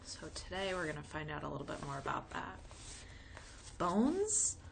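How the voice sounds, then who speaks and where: A woman's voice: sits low, fairly even intonation, quick pace, creaky, a bit bright, slight background noise, low-quality recording. A woman narrating a children's book aloud as a read-along for young listeners. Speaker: storybook reader.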